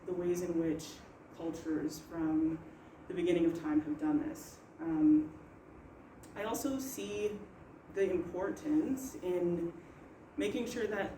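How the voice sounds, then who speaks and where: A young woman speaks earnestly on a panel, thinking aloud with many fillers. Her tone is mellow. The sound is roomy and distant, with faint noise behind. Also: on the low side, steady tone, slow pace. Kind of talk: casual panel discussion. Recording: echoey room, far from mic.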